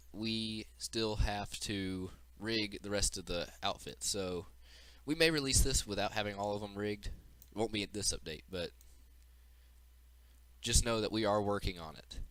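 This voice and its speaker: A man's voice: lowish voice, unhurried, a bit bright, no room sound. A man giving an informal, unscripted walkthrough of a game demo as its developer, explaining as he goes, with audible breathing into the mic.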